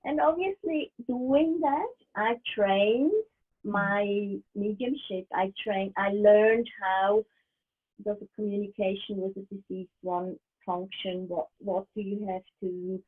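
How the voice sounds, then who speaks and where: A middle-aged woman whose native language is German, a medium speaking as an interview guest over a video call in a thoughtful, halting way, often restarting and laughing softly. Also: medium pitch, slightly rough. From Switzerland. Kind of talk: casual interview. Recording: tinny video call line.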